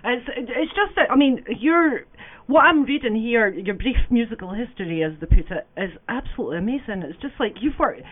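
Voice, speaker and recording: Radio presenter, encouraging and chatty, lively voice, thin phone sound. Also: medium pitch, a little fast, narrow, tinny audio. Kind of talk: casual radio interview.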